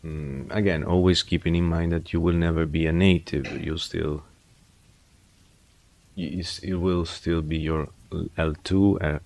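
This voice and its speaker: Male streamer with a low voice, dry close audio. Unhurried and reflective, rambles through advice. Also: a little mellow, no room sound. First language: English. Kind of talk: casual livestream chat.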